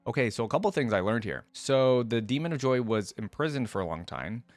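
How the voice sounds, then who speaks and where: A man's voice: medium pitch, lively intonation, a little fast, no room sound. A man hosting a gaming reaction video, moving between casual, think-aloud commentary and reading text aloud in a conversational way. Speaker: video host.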